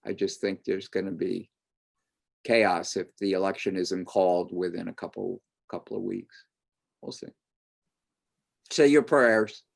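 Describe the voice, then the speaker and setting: A man hosting an online panel discussion, talking in an animated, opinionated way and thinking aloud. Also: deep voice, a little slow, creaky, pauses often.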